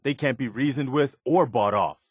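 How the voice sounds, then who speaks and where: A man's voice: medium pitch, normal speed, slightly rough, dry recording, clean studio sound, natural voice. A man voicing an earnest, hopeful militiaman companion in a post-apocalyptic video game, speaking in a plain, wistful and wary manner. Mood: grim disgust.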